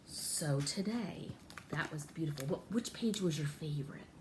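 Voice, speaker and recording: A woman runs a story time and craft lesson for little children. Her delivery is very animated, swinging widely in pitch and coaxing with questions and repeated words. There is clear background noise and clicking on the recording. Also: normal speed. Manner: animated, exaggerated, sing-song talk to small children. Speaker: storyteller and children's art teacher.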